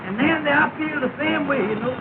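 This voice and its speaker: An actor playing a timid, soft-spoken grown man who talks in a meek, pleading, hesitant way, in early 1930s film comedy acting. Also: extremely high pitch, a little fast, creaky. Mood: sweet-talking. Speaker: comic film character. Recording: scratchy, noisy, tinny 1930 film soundtrack.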